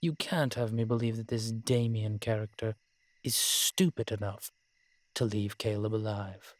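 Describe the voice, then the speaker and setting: A man's voice: lowish voice, steady tone, a little slow, no echo, close to an everyday voice. A man playing an ancient, proud and world-weary male vampire in an audio roleplay, talking in a wry, haughty way with old-fashioned fancy words. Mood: scornful, doubtful. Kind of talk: audio roleplay drama, one-sided dialogue.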